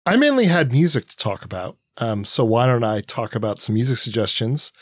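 A man's voice: medium pitch, lively intonation, a little fast, no echo. A man with an American accent in casual podcast discussion, enthusiastically sharing recommendations as a co-host.

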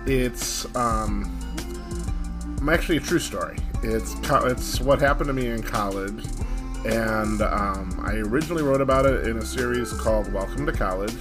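A man hosting a podcast, talking casually to listeners in a halting way with frequent ums. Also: on the low side. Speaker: podcast host. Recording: music playing behind the voice. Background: guitar music.

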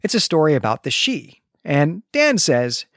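A man co-hosting a book podcast, retelling a chapter in a lively storytelling way. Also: a little high, moderate pace, no room sound, studio quality. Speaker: podcast co-host. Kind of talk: book-club podcast recap.

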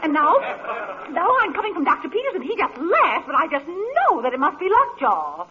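A woman's voice: very high-pitched, huge pitch swings, normal speed, exaggerated voice. A woman acting in an old-time radio comedy as a fretful, gushing, well-to-do hypochondriac lady. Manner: dramatic, gushing, fluttery. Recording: dull vintage radio broadcast.

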